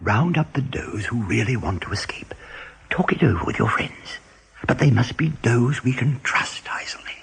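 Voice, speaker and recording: Tough rabbit captain: heavily breathy rasp, animated, persuasive urgency, radio drama. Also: male voice, medium pitch, normal speed, exaggerated voice. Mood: urgent, hushed. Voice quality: husky, gravelly, breathy. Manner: intense, persuasive, often hushed. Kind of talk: 1980s radio play acting.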